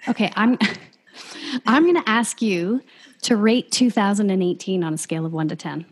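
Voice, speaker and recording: A woman in her thirties co-hosting a podcast, chatting in a warm, reflective and earnest way. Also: mid-range voice, normal speed, husky. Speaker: podcast co-host. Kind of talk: casual podcast chat.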